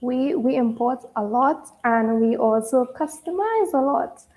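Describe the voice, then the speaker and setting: Woman, fairly high voice, chatty, quick to laugh, live-stream guest. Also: expressive, a little mellow, poor audio. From Trinidad and Tobago. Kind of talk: casual live-stream interview chat.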